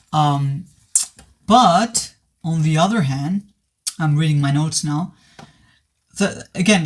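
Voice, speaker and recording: Male reviewer, pitch a bit high, voice worn from sickness, sincere and stop-start. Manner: hesitant, many restarts, earnest. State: voice worn from recent illness. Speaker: movie reviewer. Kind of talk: casual spoken film review.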